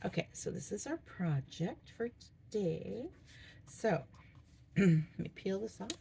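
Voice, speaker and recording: A middle-aged woman with a hoarse voice, chatting casually and thinking aloud as she works on a diamond painting. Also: medium pitch, expressive, slow pace, dark tone, slight background noise. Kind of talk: casual crafting vlog chat.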